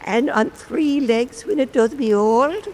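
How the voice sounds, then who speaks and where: An actor playing an old blind island storyteller, wry and pious, telling folk tales slowly in a radio drama. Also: male voice, very high voice, darkish tone, slight background noise. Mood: wry, playful. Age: older.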